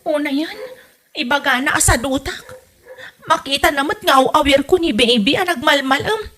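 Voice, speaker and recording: A woman's voice: high-pitched, moderate pace, pushed and bright. A woman playing an exasperated young wife in a comedy drama, complaining melodramatically with a northern Philippine accent. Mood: indignant, melodramatic. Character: exasperated young wife fed up with her meddling mother-in-law. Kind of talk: comedy radio drama acting.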